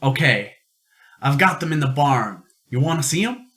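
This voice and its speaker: A man acts out an eager young farm boy, high and bright in tone. He is conspiratorial and boastful, with folksy talk and a pitch that leaps about in an amateur radio play. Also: expressive, normal speed.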